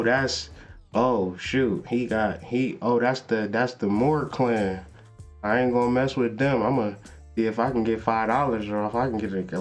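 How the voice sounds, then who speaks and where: A man hosting a spiritual talk show, reading passages aloud and riffing on them in loose street slang. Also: medium pitch. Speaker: podcast host. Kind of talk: casual spiritual lecture.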